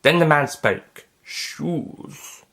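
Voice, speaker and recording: A man's voice: medium pitch, expressive, moderate pace, bright, forceful tone. A man reading scripted science fiction story narration as its narrator. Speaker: author and narrator.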